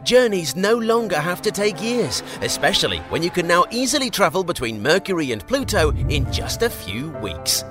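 An actor playing a slick, over-enthusiastic announcer in a spoof commercial, upbeat and salesy. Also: male voice, high voice, very animated, normal speed, few pauses. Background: advert music.

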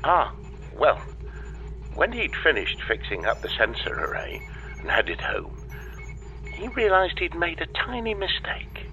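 A man's voice: a little high, wildly swooping pitch, measured pace, pauses often, bright, forceful tone, muffled recording, slight background noise, exaggerated voice. A man playing an evasive, breezily unhelpful space-agency dispatcher in a comedy audio drama, talking over a radio link. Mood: sheepish, brisk. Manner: breezy, evasive, hesitant when cornered.

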